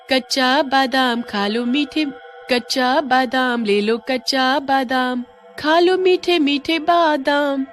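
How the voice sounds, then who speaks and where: A woman's voice: sits high, a bit bright, pitched above the performer's own voice. Almond seller, cartoon, woman narrator performing: female hawker, coaxing, lilting, music behind. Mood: singsong hawking. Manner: lilting, coaxing sales patter. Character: sweet-talking woman street almond seller. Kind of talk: Hindi animated story. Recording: music under the voice.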